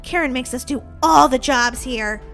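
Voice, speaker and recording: A woman voicing an excitable, cheeky, sweet little orphan girl in an animated, exclamatory children's story. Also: sits high, normal speed, gravelly, faint music behind. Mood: eager, pleading. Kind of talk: children's pretend-play story acting.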